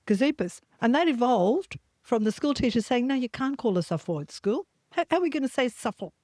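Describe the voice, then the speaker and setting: An Australian woman telling lively stories in a casual podcast chat. She laughs often and acts out the people in her stories. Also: fairly high pitch, a little fast, no echo. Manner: animated anecdotes, laughs often, acts out quoted voices.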